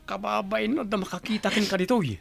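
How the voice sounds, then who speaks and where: A man's voice: sits high, steady tone, fairly quick, vocal fry, breathy edge, no echo, exaggerated voice. A man playing a callous, irritable husband in a radio drama, curt, scornful and scolding. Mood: scornful, angry.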